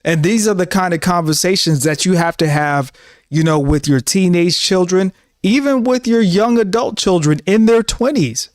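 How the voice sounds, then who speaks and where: A man's voice: high-pitched, lively intonation, normal speed, dry recording, clean studio sound. A man in his thirties giving an earnest, preachy talk to camera as a show host, often repeating himself. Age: 30s. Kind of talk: casual monologue to camera.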